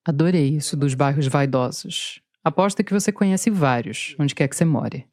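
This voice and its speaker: A woman's voice: deep voice, normal speed, a little mellow, no room sound. A woman narrating a documentary podcast in a scripted, playful way, mixing her lines with interview clips. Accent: Brazilian Portuguese. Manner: dry, playful storytelling.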